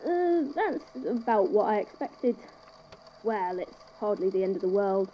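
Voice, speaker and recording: A woman playing a composed, wry institute head in a scripted audio drama, speaking in a measured, conversational way. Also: medium pitch, big pitch swings, muffled recording, slight background noise. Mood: warm, reassuring. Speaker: podfic voice actor, institute head narrating a statement.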